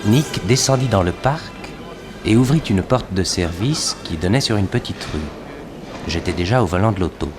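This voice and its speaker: An actor playing a young, streetwise detective's assistant who narrates an early sixties radio adventure in a matter-of-fact way. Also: male voice, on the low side, expressive, normal speed, natural voice. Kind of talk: early 1960s radio drama narration and dialogue. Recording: old radio production with music behind.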